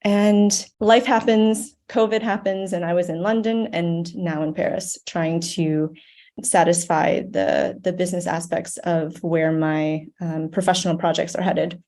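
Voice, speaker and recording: American woman podcast guest, kinda low mellow voice, thoughtful, talking reflectively. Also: fairly even intonation, measured pace. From United States, grew up in Ohio. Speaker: podcast guest, nonprofit board member. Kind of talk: reflective conversational interview.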